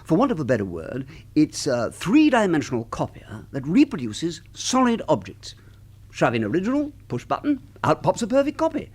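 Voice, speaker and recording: An actor playing a jovial, flippant British husband in a radio drama, with breezy, jokey, old-fashioned turns of phrase. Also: male voice, a little high, huge pitch swings, normal speed, darkish tone, husky, heavily acted. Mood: breezily explaining. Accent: British English. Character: jovial, flippant middle-class British husband, joking electronics man.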